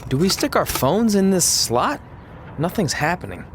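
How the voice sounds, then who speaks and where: Earnest, animated young man. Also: high-pitched, moderate pace, a little hiss or hum. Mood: uncertain. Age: young adult. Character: earnest, stubborn young male aspiring pro wrestler.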